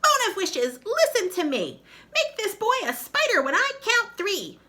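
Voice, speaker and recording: A woman's voice: extremely high pitch, huge pitch swings, unhurried, sharp tone, voice pushed up, full character voice. A woman voicing a mean old witch in a storybook, with a cracked, screeching voice. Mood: shrieking commands.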